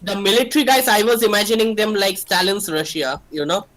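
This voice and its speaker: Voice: medium pitch, brisk, fairly bright tone. Game writer for a livestream brainstorm, mid-range voice, conversational and explanatory. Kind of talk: casual creative brainstorming discussion.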